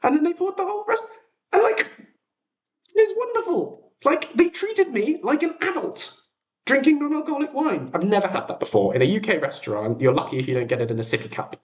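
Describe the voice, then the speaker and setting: A man's voice: very high-pitched, expressive, brisk. A man in his twenties with an English accent, rambling casually to a livestream chat in a chatty, self-deprecating manner. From England. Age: 20s. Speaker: livestream host.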